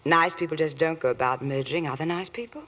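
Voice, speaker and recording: An actress playing an affectionate, gently anxious wife of a barrister, in 1940s radio drama style. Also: female voice, deep voice, quick pace. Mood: concerned, tender. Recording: muffled old radio recording.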